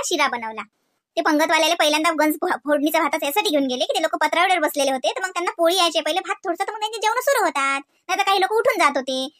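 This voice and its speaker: A woman voicing a sharp-tongued young woman who mocks and lectures an aunt. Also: high-pitched. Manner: teasing, preachy, mocking.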